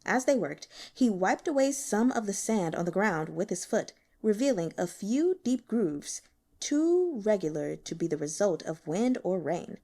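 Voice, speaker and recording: A woman's voice: mid-range voice, big pitch swings, a little slow, dark tone. A woman reading a novel aloud as scripted narration. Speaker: audiobook reader.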